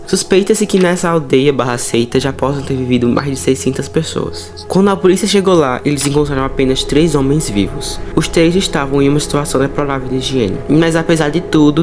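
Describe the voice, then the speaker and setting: Brazilian man narrating horror over music. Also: fairly high pitch. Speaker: host of a horror storytelling channel. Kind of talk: storytelling narration with casual asides. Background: music under the voice.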